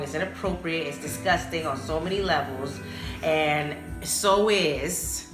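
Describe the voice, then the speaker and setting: An American woman hosting a solo podcast in casual talk, speaking bluntly and emphatically, with opinions and swearing. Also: sits low. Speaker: podcast host. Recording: roomy echo, music behind the voice. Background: music.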